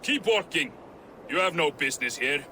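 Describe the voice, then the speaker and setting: An actor playing a surly, thuggish Viking-age guard in a video game, giving curt orders. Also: male voice, a little high, animated, normal speed, sharp tone, a little hiss or hum. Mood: hostile, dismissive. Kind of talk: video game dialogue acting.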